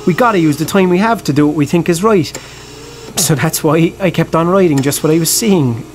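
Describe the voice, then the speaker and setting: An actor playing an earnest young film-maker from Cork with an Irish accent, hesitant and informal, tactful but probing in a naturalistic audio drama. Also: male voice, on the high side, fairly quick, slight background noise, lightly acted. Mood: reflective.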